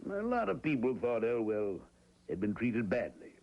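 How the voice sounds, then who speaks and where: A man's voice: medium pitch, big pitch swings, moderate pace. An actor playing an uneasy man who explains a business deal with hesitant 'well's and 'uh's in an old-time radio drama. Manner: hesitant, full of 'well' and 'uh'. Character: uneasy small-town man, hesitant and evasive. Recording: dull lo-fi old radio recording.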